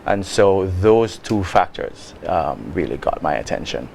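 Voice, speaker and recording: Jamaican man, low voice, soft-spoken interview guest, slight background hiss. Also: big pitch swings, gravelly. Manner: soft-spoken, measured, with many ums. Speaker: guest, lodge member. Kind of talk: casual interview.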